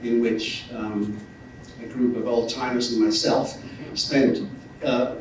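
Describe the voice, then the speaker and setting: A man's voice: mid-range voice, little pitch movement, slow pace. Older English man in a very echoey space. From north of England. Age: 60s or older. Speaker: retired university lecturer, guest speaker. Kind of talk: informal public speech. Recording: very echoey, distant mic, faint noise.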